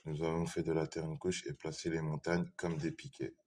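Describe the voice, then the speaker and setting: A man's voice: rumbling low, little pitch movement, brisk, darkish tone. A man in a live online debate, defending his view hesitantly, repeating himself and reading verses aloud.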